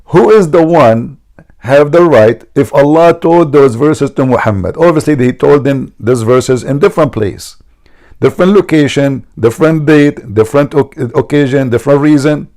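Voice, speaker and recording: Gravelly man. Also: mid-range voice, normal speed, a little mellow. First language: Arabic. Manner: mocking, emphatic, repeats himself. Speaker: debate show host. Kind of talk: argumentative religious debate.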